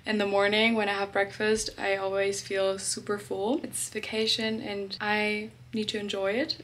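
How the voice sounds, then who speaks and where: A woman's voice: mid-range voice, fairly bright tone, a little hiss or hum. A young woman in her twenties talking casually to a handheld camera in a hotel room, upbeat and chatty, leaning on words like "literally" and "really". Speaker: vlogger. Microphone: handheld camera at arm's length.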